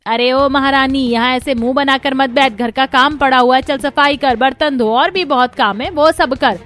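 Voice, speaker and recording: A woman voicing a domineering middle-aged mother-in-law in a cartoon story, harsh, commanding and sharp-tongued. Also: high voice, normal speed. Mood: scornful, bossy.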